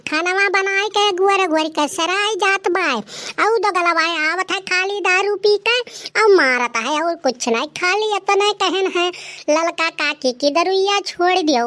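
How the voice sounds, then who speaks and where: A woman's voice: extremely high pitch, a bit bright, no echo, voice pushed up. A woman voicing an aggrieved, lamenting young married village daughter in a cartoon, with an Awadhi accent. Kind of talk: dialect cartoon comedy acting.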